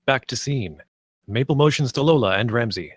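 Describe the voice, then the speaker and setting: A man's voice: medium pitch, lively intonation, normal speed, slightly rough, no room sound, clean studio sound. A man narrating the stage directions of a script at a table read.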